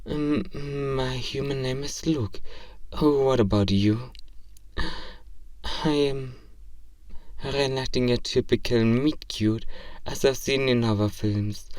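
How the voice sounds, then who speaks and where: A man's voice: medium pitch, takes it slowly, long pauses, darkish tone, close to an everyday voice. A man playing a polite, curious alien robot in human disguise, naive about romance, speaking formally and literally in a scripted roleplay. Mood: flustered, eager. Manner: formal, stilted, literal, precise phrasing. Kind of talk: scripted roleplay audio drama. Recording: roomy, slightly distant microphone.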